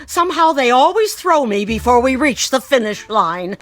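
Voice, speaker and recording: A woman's voice: high voice, sing-song, normal speed, a bit bright. An actress playing a brassy, flirtatious, self-mocking woman guest in a radio drama, with a coy, wisecracking drawl.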